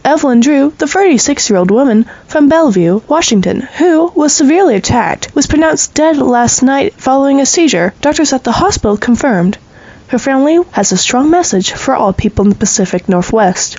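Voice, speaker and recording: A radio news anchor in an audio drama. The sound is a little dull and very dry. Her voice is on the high side, and she reads serious headlines in a formal, scripted manner. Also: animated, fairly quick, a little mellow, no echo. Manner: formal, crisp newsreader delivery.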